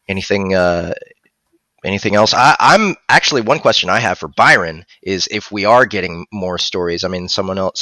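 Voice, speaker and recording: A man in his thirties with a low voice and a bright, forward edge. He talks casually as a live stream co-host, close on a headset mic with a dry sound. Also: no room sound. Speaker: audiobook narrator and producer co-hosting a live stream. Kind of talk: casual live-stream discussion, reading out viewer questions. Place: indoor room at home.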